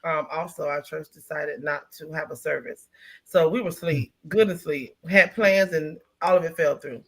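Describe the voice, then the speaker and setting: A woman's voice: sits low, moderate pace. A middle-aged woman hosting a talk show in casual chat, laughing and teasing her co-hosts.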